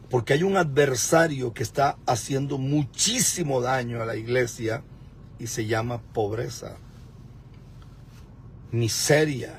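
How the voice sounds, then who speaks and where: Fifties male preacher, gravelly, emphatic, streaming live from a car with some road noise. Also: medium pitch. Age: 50s. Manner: emphatic, repeats words for stress. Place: in a car at a pay point.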